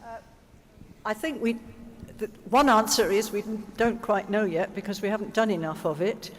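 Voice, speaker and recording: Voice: mid-range voice, normal speed. A conference speaker answering audience questions in a reflective, explanatory way, with rhetorical questions and check-ins. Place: large conference hall. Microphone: stage microphone. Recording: reverberant hall over PA microphone.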